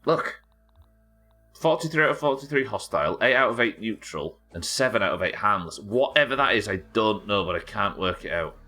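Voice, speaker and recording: Low, croaky British man streaming. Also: animated, brisk, pushed and bright, faint music behind. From United Kingdom. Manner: chatty, swears, reads chat aloud. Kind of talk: casual gameplay chat.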